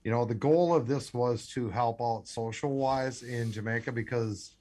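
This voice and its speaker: An American man speaks as a guest on a radio show. He talks earnestly, stopping and restarting his sentences often. His voice sits at an ordinary middle pitch. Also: a little mellow. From United States. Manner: earnest, halting, many restarts. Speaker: radio guest, scholarship founder. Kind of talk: phone-in radio interview.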